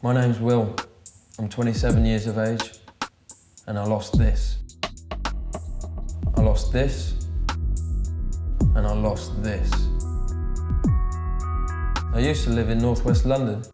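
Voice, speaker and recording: A man's voice: lowish voice, steady tone, slow, pauses often. A man in his twenties giving a plain, matter-of-fact video diary monologue. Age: 20s. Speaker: video diarist. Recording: electronic music under the voice.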